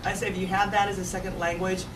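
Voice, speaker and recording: A woman's voice: mid-range voice, level tone, quick pace, over loud music. An American woman speaking informally and emphatically on a discussion panel, repeating phrases for effect. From suburban Dallas, USA. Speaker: news anchor on a discussion panel. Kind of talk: animated, informal panel talk. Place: event room at a live panel discussion. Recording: noisy, echoey room, distant mic.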